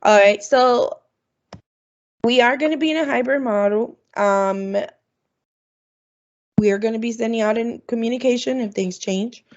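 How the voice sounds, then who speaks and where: A woman's voice: on the high side, unhurried, pauses often. A woman who works as an international student advisor, presenting at an online orientation in a chatty way with lots of ums. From Florida, United States. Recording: dull, lo-fi video call audio.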